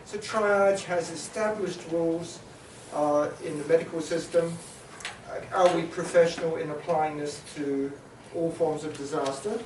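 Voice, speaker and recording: A man with a slightly high voice moderates a panel discussion, pausing often and filling gaps with hesitant sounds. He is from New Zealand. His voice carries through a reverberant conference hall, picked up from some way off the microphone, with a steady background hiss. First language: Maori. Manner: halting, many filler sounds and restarts, summarizing. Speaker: panel facilitator. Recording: echoey hall, mic at a distance.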